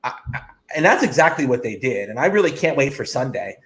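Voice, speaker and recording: A man's voice: mid-range voice, very animated, fast talker, fairly bright tone. A man co-hosting a casual sports talk show, giving blunt opinions in short, punchy phrases.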